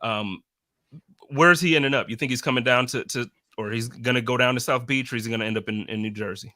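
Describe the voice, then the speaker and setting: Male podcast host, slangy and supportive, bright voice, dry close mic. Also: medium pitch, brisk, no room sound. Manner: friendly, encouraging, slangy. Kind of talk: casual chat.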